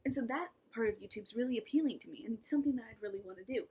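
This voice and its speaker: A young woman with a slightly high, breathy voice chats casually to her camera. She rambles and mocks herself, often dropping into whispered asides between laughs. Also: racing, darkish tone. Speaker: vlogger. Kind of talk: casual vlog monologue.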